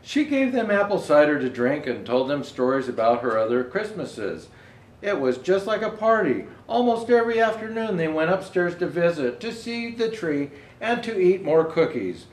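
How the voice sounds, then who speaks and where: High-pitched man reading a storybook, very lively intonation. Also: echoey room, low-quality recording. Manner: halting reading with asides to show pictures. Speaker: storyteller presenting as Santa Claus. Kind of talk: reading a children's storybook aloud.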